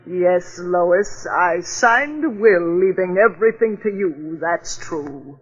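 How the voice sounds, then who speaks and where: A woman's voice: lowish voice, expressive, slow pace, slightly rough, exaggerated voice. Through a dull old radio recording comes a wealthy older woman, wronged and embittered. Her delivery is theatrical 1940s melodrama. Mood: bitter, hurt.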